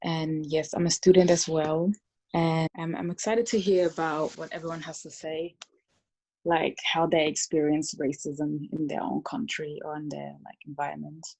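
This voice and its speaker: A woman in her twenties from Germany, a student, speaking in a measured way that fades softer at sentence ends. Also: sits low, flat delivery, vocal fry, no echo, low-quality recording. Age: 20s.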